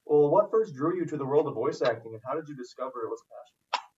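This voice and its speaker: Brisk male host on distant, dull audio. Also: mid-range voice, animated, noisy background. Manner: brisk, reads questions from a list. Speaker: podcast host. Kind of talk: reading prepared interview questions.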